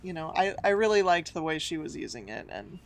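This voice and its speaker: A woman's voice: fairly low pitch, big pitch swings, brisk. A woman co-hosting a casual chat who tells stories in a laid-back, slangy way and laughs often. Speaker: podcast co-host.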